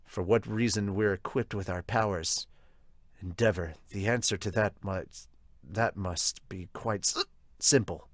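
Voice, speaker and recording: A man's voice: on the low side, unhurried, dry recording, studio quality, voice pushed down. A man voicing a stern, remorseful middle-aged hero and father in a solemn, subdued manga fan dub style. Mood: grim, reflective.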